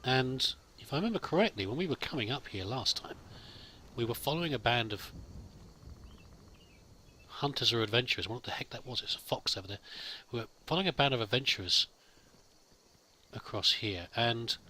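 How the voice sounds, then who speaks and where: Male let's play host, very bright tone, improvised in-character musing to a companion, slight background noise. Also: medium pitch, expressive, brisk, pauses often. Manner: musing aloud, addressing a companion. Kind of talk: improvised in-character game commentary.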